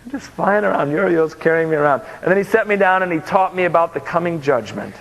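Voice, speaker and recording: A man preaching a story in the first person, animated and conversational, in a large hall. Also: on the high side, fast talker. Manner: animated, conversational, with acted dialogue. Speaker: pastor. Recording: large hall with long reverb.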